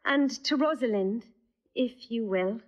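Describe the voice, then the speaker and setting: A woman's voice: a little high, lightly acted. An actress playing a witty, playful young noblewoman in disguise, in classical stage verse. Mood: earnest. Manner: quick, teasing, bold. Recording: dull lo-fi audio.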